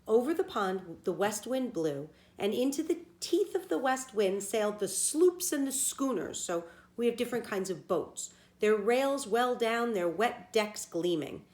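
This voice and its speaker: A woman teacher reading a children's story aloud, pausing to explain words plainly for young listeners. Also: on the high side, expressive, darkish tone. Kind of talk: storybook read-aloud with simple asides.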